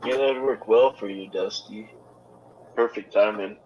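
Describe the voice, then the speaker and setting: A man's voice: lowish voice, normal speed, dull audio, a little hiss or hum. A man joining a casual livestream chat, giving easygoing, agreeable short replies. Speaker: co-host guest.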